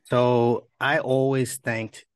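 A man's voice: mid-range voice, little pitch movement, slow, clear, resonant tone, no room sound. A man hosting a podcast, interviewing in a casual, conversational way.

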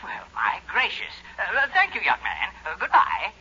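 An actor playing a timid, polite, befuddled gentleman in his forties with a raspy voice in a 1940s radio comedy. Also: high voice, huge pitch swings, a little slow, a little hiss or hum, exaggerated voice. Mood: flustered, polite. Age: 40s. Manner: fussy, hesitant, polite. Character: timid, polite, befuddled forty-one-year-old gentleman. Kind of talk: 1940s radio sitcom acting. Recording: muffled 1940s radio recording.

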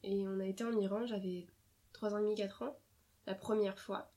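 A young French woman in a relaxed interview, talking over her upbringing. She hesitates often, fills pauses with small sounds and restarts her sentences, in an ordinary mid-range voice. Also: mid-range voice. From France. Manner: hesitant, many fillers and restarts. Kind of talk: casual interview conversation.

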